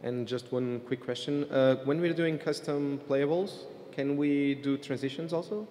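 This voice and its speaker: A middle-aged man asking an unscripted question from the audience at a stand microphone, halting as he thinks aloud. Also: medium pitch, dark tone. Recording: reverberant hall PA sound.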